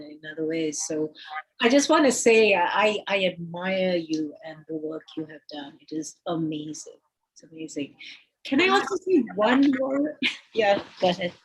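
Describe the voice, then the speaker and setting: A woman's voice: fairly low pitch, husky, low-quality recording. A woman in her fifties, an author on a panel, speaking earnestly with many restarts. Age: 50s. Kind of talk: panel discussion.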